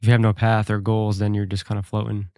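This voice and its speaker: A man's voice: on the low side, monotone, fast, dark tone, no echo, studio quality. A man hosting a casual podcast interview, chatting in an affirming, conversational way. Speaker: podcast host.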